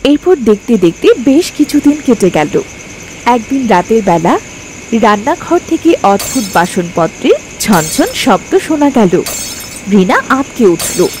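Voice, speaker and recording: High-ish, expressive woman storyteller. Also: a little slow, slight background noise. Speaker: story narrator. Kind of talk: scripted children's story narration.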